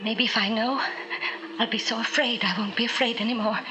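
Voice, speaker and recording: A woman's voice: on the high side, steady tone, background noise, lightly acted. An actress playing a terrified mother desperate to save her baby, in a fragmented, agonised radio drama monologue. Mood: anguished. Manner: fragmented, breathy pauses, agonised self-talk. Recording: vintage radio drama with loud music.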